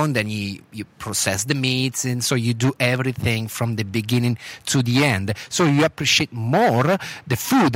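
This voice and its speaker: A man from Italy with an Italian accent, a tour manager chatting casually outside a cafe, relaxed and full of fillers. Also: mid-range voice. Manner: relaxed, filler-heavy, laughs easily. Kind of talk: casual interview chat. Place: outside a cafe with street traffic.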